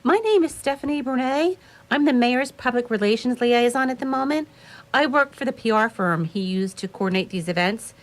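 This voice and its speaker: An actress playing a polite, officious public relations liaison in a radio play, speaking a little haltingly. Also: female voice, a little high, lively intonation, natural voice. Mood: brisk, officious. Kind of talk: radio theatre acting.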